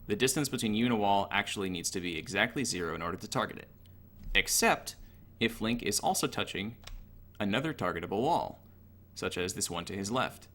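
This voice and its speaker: A man's voice: lowish voice, lively intonation, fairly quick, a bit bright. A man giving an informal, technical tutorial over gameplay in a matter-of-fact, analytical way. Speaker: gaming tutorial narrator.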